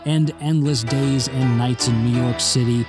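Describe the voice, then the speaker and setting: An announcer delivers a scripted promotional introduction for a podcast. The voice sits at a middle pitch. Guitar music plays clearly underneath. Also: fairly even intonation, unhurried. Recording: voice-over with guitar music behind.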